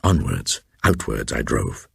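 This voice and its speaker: A man plays a haunted old recluse in a gothic radio tale, very low and gravelly. He is grave and brooding, with dramatic, widely swinging intonation and deliberate phrasing. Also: big pitch swings, fairly bright tone, dry recording, studio quality, full character voice. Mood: awed, uneasy. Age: older. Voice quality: gravelly, husky. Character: haunted old man, reclusive, writing his uncanny diary.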